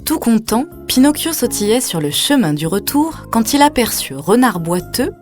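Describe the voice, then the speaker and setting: A woman's voice: fairly high pitch, big pitch swings, normal speed. A woman reading a scripted children's story as a storyteller, putting questions to the young listener. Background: music.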